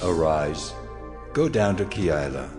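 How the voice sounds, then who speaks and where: A man playing God as a solemn, commanding divine voice in a dramatized Bible, measured and authoritative, in long reverb. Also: extremely low pitch, animated, over music, heavily acted. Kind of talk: dramatized Bible audio acting.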